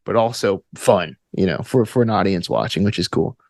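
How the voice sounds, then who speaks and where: A man's voice: sits low, moderate pace, gravelly, no echo. Young Southern man chatting casually, dry close sound. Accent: Alabama Southern. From Alabama. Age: 20s. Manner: enthusiastic, informal slangy talk. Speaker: guest, actor and stage combat student. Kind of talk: casual interview chat.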